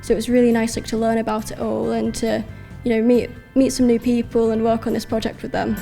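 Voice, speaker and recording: A woman's voice: on the high side, completely flat delivery, brisk, vocal fry, music in the background. A young woman chatting casually in an interview as a student performer. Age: young adult.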